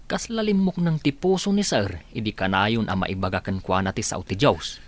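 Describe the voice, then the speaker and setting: A man as an animated, chatty onlooker. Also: lowish voice, moderate pace, natural voice. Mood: touched, chatty. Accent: Filipino. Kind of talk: Ilocano radio drama acting.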